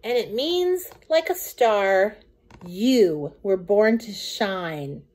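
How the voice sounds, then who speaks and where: A middle-aged woman reading a children's picture book aloud in an expressive, deliberate, sing-song way. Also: medium pitch. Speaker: children's storytime reader.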